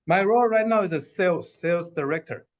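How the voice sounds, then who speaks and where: A man from Taiwan whose first language is Mandarin, a sales director, introducing himself haltingly on a video call. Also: sits high. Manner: halting, many uhs. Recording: muffled video call audio.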